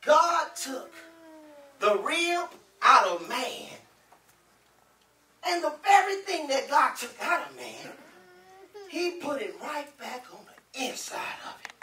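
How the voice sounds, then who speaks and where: A man playing a lovestruck, exuberant older husband in a stage play, talking to the audience in a folksy, boisterous way with drawn-out exclamations. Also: extremely high pitch, sing-song, pushed and bright, full character voice. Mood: tender, playful. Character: exuberant, lovestruck older husband, married thirty-five years. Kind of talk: theatrical stage monologue to the audience. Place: indoor theater stage with living-room set. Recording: echoey stage, picked up from far off.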